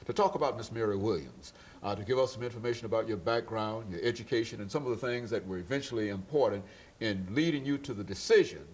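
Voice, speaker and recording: A middle-aged man hosting an interview show, speaking slowly with many "uh" pauses. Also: lowish voice, slight background noise. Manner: slow, halting, many "uh" fillers and steady "mm-hmm" backchannels. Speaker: talk show host.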